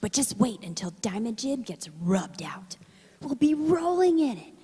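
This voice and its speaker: A greedy, plotting small-time crook from 1940s radio, acted by a woman. The voice is breathy, rasping and high, muttering slang in an echoey hall. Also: big pitch swings, a little slow, dark tone, full character voice. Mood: conspiratorial. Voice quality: husky, half-whispered. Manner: conspiratorial gangster slang. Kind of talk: 1940s radio drama acting.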